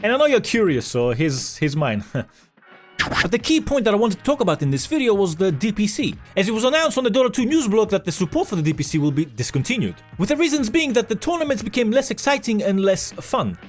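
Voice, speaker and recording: A man's voice: high-pitched, sing-song, quick pace, faint music behind. A man hosting a scripted esports news video in a casual, sarcastic, jokey way with some swearing. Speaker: video host.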